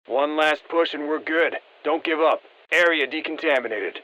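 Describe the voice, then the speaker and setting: A man voicing a seasoned special-forces operator in a video game, briefing the squad in a terse, steady, encouraging way. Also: a little high, normal speed, rough recording. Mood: focused, encouraging. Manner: terse, matter-of-fact military delivery. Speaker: military operator giving mission guidance. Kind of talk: scripted video game voice lines.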